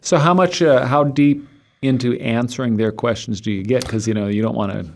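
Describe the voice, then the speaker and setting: A soft-spoken man hosting a podcast, steering the talk with short prompts and follow-up questions. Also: mid-range voice, fairly even intonation, brisk, creaky. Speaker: podcast host. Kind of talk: casual interview questions.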